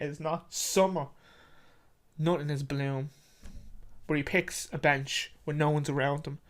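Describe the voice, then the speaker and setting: A person narrating a short story dramatically, repeating phrases for emphasis and sometimes stumbling over the words. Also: mid-range voice.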